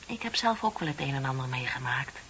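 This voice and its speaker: Bitter, sardonic office worker, muffled hissy recording. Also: female voice, rumbling low, lively intonation, a little fast, fairly bright tone. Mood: wistful, resigned. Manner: confiding, sardonic, dramatically emphatic. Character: bitter, lonely middle-aged female office worker, needling a colleague. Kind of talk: Dutch radio play acting. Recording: dull, hissy old radio recording.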